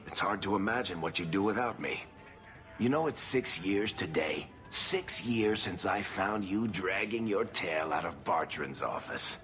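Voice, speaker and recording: A man's voice: sits low, measured pace, a bit bright, faint music behind. A man voicing a wry, loyal dwarf rogue and storyteller in a video game cutscene, with a dry, sardonic manner. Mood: wry, musing.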